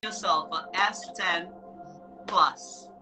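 A woman's voice: fairly low pitch, extremely slow. A woman hosting a casual live online show, speaking in an effusive, encouraging way and often repeating herself. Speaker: show host. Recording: music in the background. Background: music.

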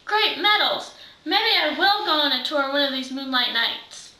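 Teenage girl playing chatty witch's helper, exclamatory script reading, bright voice, roomy sound. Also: sits high, expressive, unhurried, pitched below the performer's own voice, heavily acted. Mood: amazed. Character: witch's chatty sidekick Wiley, amazed and bossy. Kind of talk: readers' theater script reading. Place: living room, far camera microphone. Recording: roomy, distant microphone.

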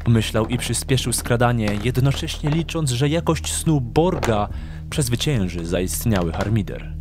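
Male narrator for a radio play, medium register, measured storytelling over a music bed. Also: medium pitch, animated. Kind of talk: scripted audio drama narration.

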